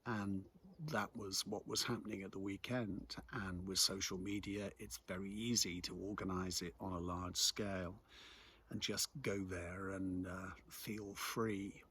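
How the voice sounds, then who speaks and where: A middle-aged English man giving a reflective spoken monologue in a measured, conversational, musing way. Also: deep voice, level tone, a bit bright, slight background noise. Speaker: local commentator and host.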